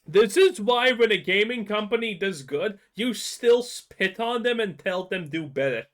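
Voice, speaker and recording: A man with a fairly high voice that swings widely, talking casually and bluntly as he reacts on stream. He vents heated, profane opinions. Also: animated, measured pace, a bit bright.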